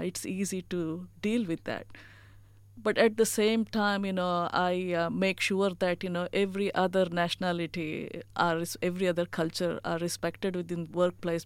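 A woman's voice: fairly low pitch, fairly even intonation, normal speed, clean tone, no room sound. Earnest woman with an Indian accent. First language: not English. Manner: earnest, many "you know" fillers. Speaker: chief executive officer. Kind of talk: interview answers.

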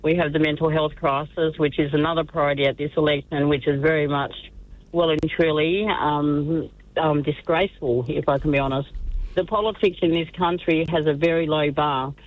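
A woman's voice: low-pitched, steady tone, normal speed. A woman candidate speaking earnestly in a phone-in radio interview, with some fillers. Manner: earnest campaign speech with fillers. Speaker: election candidate, guest. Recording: tinny dry phone line.

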